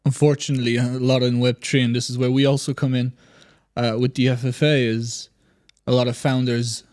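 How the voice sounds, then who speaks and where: A man co-hosting a podcast, asking long measured questions with fillers. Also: medium pitch, little pitch movement, darkish tone.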